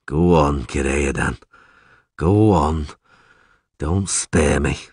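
A man acting out a battered, impatient monster hunter for a fantasy audiobook. He is blunt and foul-mouthed, with a husky edge and an animated, swinging pitch. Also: slow, no echo, clean studio sound, exaggerated voice. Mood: grim, uneasy. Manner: blunt, cursing, barking questions. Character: gruff male monster hunter, groggy, impatient, cursing.

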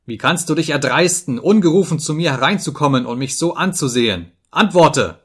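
An enraged, proud master, voiced by a male narrator, bellowing orders and repeating himself in fury. His voice is pushed up high and loud, overwrought and close to frantic, in a dramatic audiobook story. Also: animated, fairly quick, slightly rough. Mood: furious. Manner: shouting in commands, repeating himself furiously.

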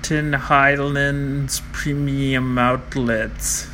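Man, flat monotone, slow hesitant list reader who trips over names. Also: mid-range voice, a little hiss or hum. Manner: slow, halting, with many fillers and self-corrections; stumbles over foreign names and apologizes. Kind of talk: reading a list of world records.

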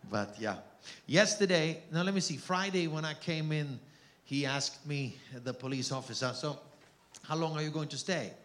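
A man's voice: on the high side, moderate pace, fairly bright tone, a little hiss or hum. A middle-aged man with an Austrian German accent, preaching as a guest missionary in a church, joking with and calling out to the crowd. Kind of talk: sermon. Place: church hall.

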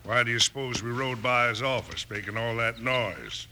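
A man's voice: medium pitch, normal speed, sharp tone. A man playing a vengeful, cocky outlaw boss in an old Western radio drama, hard and menacing. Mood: cocky, scheming. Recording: old radio recording, faint noise.

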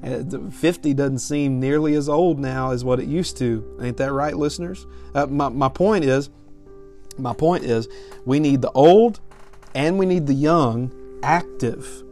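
A man's voice: medium pitch, measured pace, darkish tone, faint music behind. A man in his thirties giving a conversational but emphatic podcast sermon, repeating phrases as he builds his point. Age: 30s. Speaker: preacher and podcast host.

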